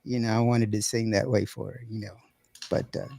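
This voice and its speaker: A raspy-voiced man hosting a podcast in a casual, rambling way, often repeating words as he goes. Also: fairly low pitch, steady tone, brisk, dark tone, no room sound. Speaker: podcast host. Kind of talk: casual chat.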